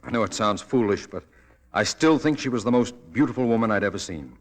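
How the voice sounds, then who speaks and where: An actor playing an earnest, curious young police detective in a 1940s radio drama, thoughtful and polite. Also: male voice, lowish voice, animated, fast.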